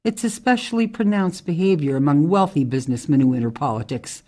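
A woman playing a tough, outspoken ex-military forest ranger in a radio drama, speaking in a measured, emphatic way. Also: low voice, animated, warm tone. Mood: grave, critical.

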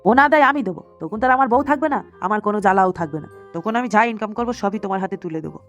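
A woman plays a cheating cartoon grandpa, an old man coaxing in a hurried, mid-pitched voice. His pleading is sly and placating, with music running underneath. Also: lively intonation, muffled recording, close to an everyday voice. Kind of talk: amateur comedy cartoon acting.

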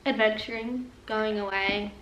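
Brief: teen Aussie woman, bright and a bit high, bubbly chat, distant mic in a roomy room. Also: steady tone, measured pace, dull audio. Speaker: vlogger co-host. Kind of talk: casual Q&A chat. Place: living room, on a couch indoors. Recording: echoey room, mic at a distance, faint hiss.

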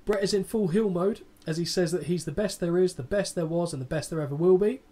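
A man in his thirties co-hosts a casual podcast, reading out a match recap and breaking off for sarcastic asides. His voice sits a little high for a man. He swears freely and keeps a deadpan tone. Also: a little fast. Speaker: podcast co-host.

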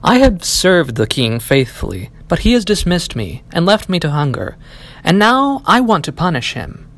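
A man's voice: on the high side, big pitch swings, normal speed, fairly bright tone, voice pushed up. A man voicing a bitter, commanding soldier in a storybook fairy tale. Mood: vengeful.

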